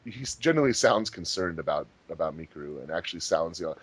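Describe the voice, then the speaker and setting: A man's voice: sits low, lively intonation, fast talker, husky. A man giving casual, enthusiastic group commentary on a fan dub he worked on. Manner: enthusiastic, rambling fan talk. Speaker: fandub director on a commentary track.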